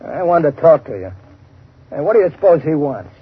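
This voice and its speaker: A man's voice: on the high side, very animated, fairly quick, slightly rough, heavily acted. An actor playing a dim, eager American wrestler turned henchman in a 1930s radio drama, with slangy, folksy tough-guy talk. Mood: casually menacing. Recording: noisy, muffled 1930s radio recording.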